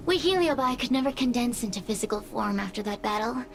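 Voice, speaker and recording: A woman's voice: a little high, expressive, fast talker, gravelly. A woman voicing a proud, mocking fire spirit in a video game, speaking in a theatrical storyteller's manner. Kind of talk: video game character acting.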